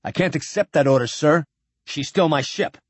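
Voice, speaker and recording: A man's voice: fairly high pitch, moderate pace, no room sound, close to an everyday voice. A stubborn starship captain , read by a male narrator, answers in firm, urgent bursts, refusing to give ground. His voice is lifted above the narration. Close, dry audiobook sound. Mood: defiant.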